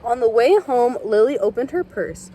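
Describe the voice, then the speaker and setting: Woman reading a kids' picture book aloud, expressive, fairly high voice, faint noise in the background. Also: normal speed. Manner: expressive storytelling. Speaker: storybook reader. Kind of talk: children's read-aloud.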